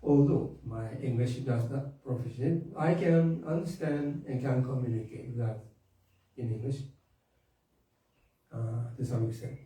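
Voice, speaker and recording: A man delivers a sermon in a slow, halting way. His voice is very dark and mellow. He is picked up from a distance in a strongly echoing room. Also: medium pitch. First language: Japanese. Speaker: minister. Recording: echoey room from a distant mic.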